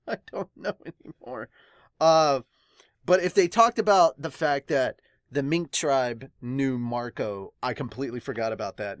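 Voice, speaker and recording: A man gives a casual, rambling review in an excitable way, often breaking into laughter. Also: medium pitch, no echo, studio quality. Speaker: host of a manga review channel. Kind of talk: casual reaction and review talk.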